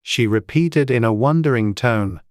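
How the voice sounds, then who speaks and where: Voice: mid-range voice, animated, unhurried, darkish tone, dry recording. A storybook narrator reads from a script in a mid-range voice. The recording is close and dry, with no room sound. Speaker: audiobook narrator.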